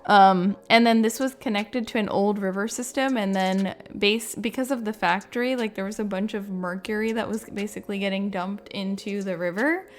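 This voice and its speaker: A woman's voice: mid-range voice, fairly even intonation, normal speed, few pauses, soft background music. A woman hosting a gaming video, giving casual, unscripted reaction and ranking commentary in an informal, conversational way, thinking aloud.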